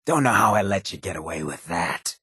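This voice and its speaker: A roguish irradiated ghoul from a video game, with an extremely husky, gravel-rough voice on the low side. He drawls slangy, wry remarks with cocky swagger, his pitch sliding around expressively, recorded close and dry. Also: lively intonation, a little fast, bright, forceful tone, no echo, heavily acted. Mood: sly. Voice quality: very raspy, gravelly. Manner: sly, laid-back, slangy swagger. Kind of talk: video game companion voice lines.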